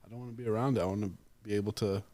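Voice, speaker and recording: A man in his thirties, a podcast guest speaking in casual chat, softly and hesitantly, often trailing off. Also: fairly low pitch, fairly even intonation, rapid-fire.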